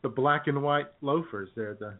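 A man's voice: medium pitch, moderate pace. A man in his forties co-hosting a casual radio chat, joking and often circling back on his words, with narrow phone-like sound. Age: 40s. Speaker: radio show co-host.

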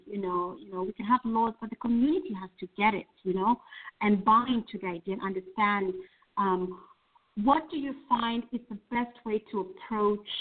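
Kenyan-born woman host on a tinny call. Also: medium pitch. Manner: hesitant, many fillers and restarts. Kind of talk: casual interview over a call. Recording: tinny phone-like call audio.

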